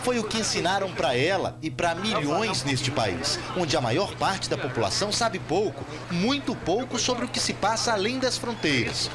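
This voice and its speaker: A man's voice: high voice, animated, moderate pace, few pauses. A man with a Brazilian accent narrating a scripted TV report as a foreign correspondent. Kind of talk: scripted news report with translated quotes.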